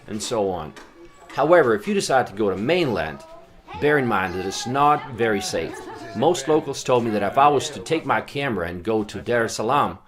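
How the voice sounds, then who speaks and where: A man narrating a travel vlog in a relaxed, conversational voice-over. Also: fairly low pitch. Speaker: travel vlogger.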